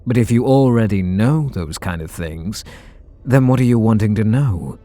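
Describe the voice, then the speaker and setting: A man's voice: on the low side, expressive, normal speed, warm tone, close to an everyday voice. A man voicing a bitter, sarcastic supervillain in an ASMR roleplay audio drama, talking in a sardonic, mocking way. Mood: sneering. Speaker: voice actor.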